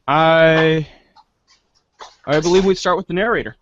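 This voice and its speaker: Man, fairly high voice, slow pace, halting casual host, muffled lo-fi recording. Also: background noise. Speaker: host of a live-streamed reader's theater show. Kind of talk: casual, unscripted hosting. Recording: dull, dry lo-fi mic.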